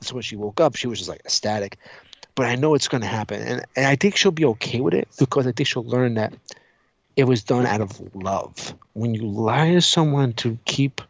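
A man chatting casually on a podcast, telling stories in an animated way, acting out dialogue and swearing freely. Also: mid-range voice, a little fast, no echo, highs cut off. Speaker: podcast co-host. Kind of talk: casual chat, animated storytelling.

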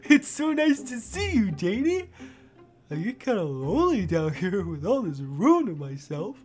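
A woman playing a childlike, lonely and eager records clerk in an audio drama, with a giggly, halting, naive delivery. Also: mid-range voice, big pitch swings, a little mellow, faint music behind. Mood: delighted, gushing.

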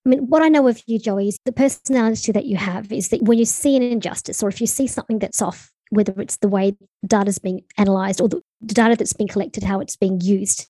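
A woman's voice: medium pitch, quick pace, few pauses, warm tone, breathy edge, no room sound, low-quality recording. A woman hosting a podcast, asking careful questions and affirming her guest. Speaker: podcast host. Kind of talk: interviewing a guest.

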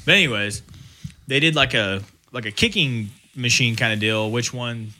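An argumentative man on a casual podcast who pushes back hard when he disagrees. His voice is very bright and sharp. His pitch sits in the middle range. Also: moderate pace, a little hiss or hum. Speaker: podcast co-host. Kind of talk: casual chat about fights.

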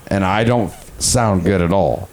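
Slow-talking low-voiced man, lively pitch. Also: a crowd in the background. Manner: profane, laughs a lot, teasing. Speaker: host. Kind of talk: casual chat.

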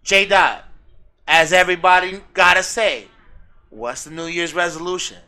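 An American man hosting a podcast in casual, slangy, excitable banter with his co-host, swearing freely. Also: sits high, moderate pace, clear, resonant tone.

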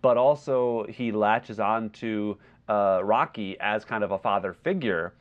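A man co-hosting a podcast, discussing film casually and haltingly as he searches for his words. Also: on the low side. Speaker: podcast co-host. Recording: slightly dull, lo-fi audio.

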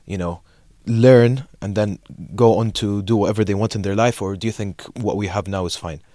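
A young man from Saudi Arabia co-hosts a podcast, putting casual questions to his guest in a hesitant, halting way full of fillers. His voice sits a little low. The recording is dry and close. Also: fairly even intonation, dark tone, no room sound. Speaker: podcast co-host.

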